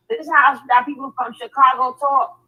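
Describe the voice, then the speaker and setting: Hoarse, raspy-voiced woman host. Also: sits high, steady tone, normal speed, sharp tone, rough recording. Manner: laughs often, repeats words and phrases. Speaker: livestream host. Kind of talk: casual livestream chat.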